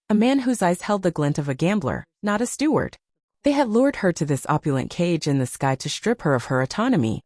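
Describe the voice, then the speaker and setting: A woman's voice: lowish voice, darkish tone, no echo, clean studio sound. A woman reading a dramatic scripted story as an audiobook narrator.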